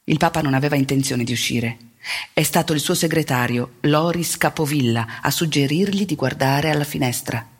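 A woman narrates as a stage actor in a radio theatre monologue. Her delivery is deliberate and measured, and she places clear emphasis on her phrases as she tells the story. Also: deep voice.

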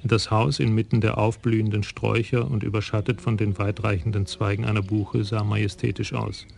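A man narrating a radio crime drama in a measured, even storytelling voice. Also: fairly low pitch, creaky, a little hiss or hum.

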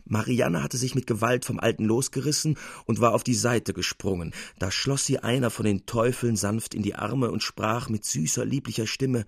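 Male narrator, standard German, lively storytelling of classic fiction, close and dry recording. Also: medium pitch, normal speed, vocal fry, hardly any pauses, breathy edge, dry recording. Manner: expressive, acting out dialogue. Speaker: audiobook narrator. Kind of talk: dramatic literary narration. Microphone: close studio microphone.

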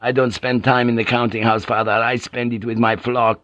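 A man reading an audiobook and voicing a priest with an icy, measured manner. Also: medium pitch, level tone, a bit bright, no room sound, dull audio, close to an everyday voice. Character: cool, icy male priest.

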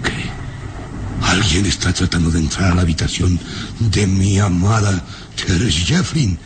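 A man's voice: low-pitched, unhurried, background noise. An actor playing a menacing, imperious baron mourning his beloved in a melodramatic old radio serial. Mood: furious, accusing.

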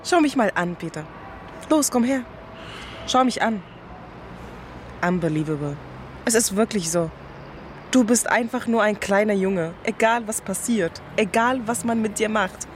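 A woman's voice: mid-range voice, animated, a little slow, pauses often, a little hiss or hum, natural voice. A woman acting in a radio drama as a hard-nosed, guarded, teasing woman with a blunt manner. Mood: mocking, tender. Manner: blunt, switches into English phrases.

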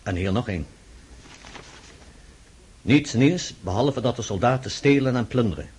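Very husky, dutiful military aide. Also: male voice, medium pitch, lively intonation, moderate pace, darkish tone. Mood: grave, reporting. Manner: formal, deferential, reporting soberly. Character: deferential, dutiful male military aide to an emperor. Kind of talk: radio drama acting.

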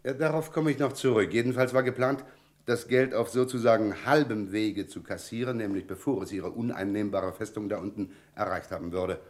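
A man's voice: on the low side, fairly even intonation, fairly quick, close to an everyday voice. Wry, self-assured police inspector, played by a man. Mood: matter-of-fact. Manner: drily ironic, politely explaining. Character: self-assured, wry male Scotland Yard chief inspector. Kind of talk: German radio crime drama acting.

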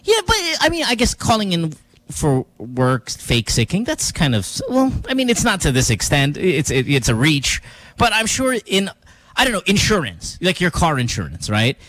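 A man hosting a casual radio panel chat, talking in a joking, rambling, animated way. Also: fairly high pitch, moderate pace. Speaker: radio show host.

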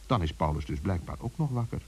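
A man's voice: low-pitched, expressive, quick pace, slight background noise. A man narrating a children's story intimately, speaking directly to listeners and characters.

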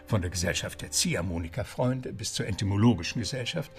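A genteel English club butler telling a story in a radio play. His gravelly voice moves animatedly over soft music, with courteous asides and theatrical pauses. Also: fairly low pitch, lively intonation. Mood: wry, chatty. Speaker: narrator. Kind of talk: radio drama narration.

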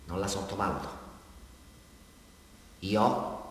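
An actor playing a rambling, unhinged villain from a small town confessing to police in a radio drama, with a Southern Italian accent and an erratic, repetitive delivery. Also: male voice, deep voice, slow, a little hiss or hum. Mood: rambling, insistent. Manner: erratic, digressive, repeating himself, swinging from loud to hushed. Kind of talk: theatrical radio drama monologue. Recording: very echoey hall, distant mic, music. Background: music.

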